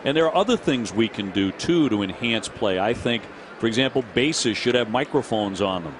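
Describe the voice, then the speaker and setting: A man's voice: medium pitch, animated, noisy background. A man calling a baseball game as play-by-play announcer, chatting with his partner and reading promos. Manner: brisk, conversational, reads promos. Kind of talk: baseball broadcast commentary. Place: ballpark broadcast booth.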